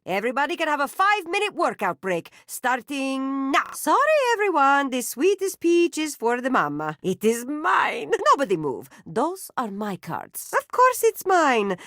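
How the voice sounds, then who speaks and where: A theatrical circus mama. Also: female voice, on the high side, fairly bright tone, no room sound, clean studio sound. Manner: theatrical, grandiose, scolding. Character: melodramatic middle-aged female circus matriarch, proud and guilt-tripping. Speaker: video game character. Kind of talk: video game voice acting.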